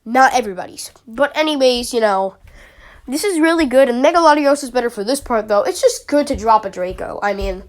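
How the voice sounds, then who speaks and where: A woman's voice: fairly high pitch, expressive, fairly quick. Sniffly young woman, chatty and rambling. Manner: chatty, rambling, with many fillers. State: sniffly with a summer cold. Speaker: YouTuber analyzing a draft league team. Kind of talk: casual commentary.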